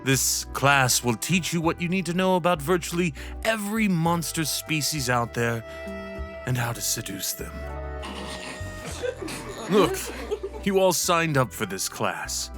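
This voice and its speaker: A man's voice: on the high side, lively intonation, measured pace, long pauses, over music, voice pushed up. A man playing a stern, wry, pompous male professor in a fantasy audio roleplay, lecturing in a haughty, theatrical way. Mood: exasperated, dry. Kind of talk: ASMR roleplay acting.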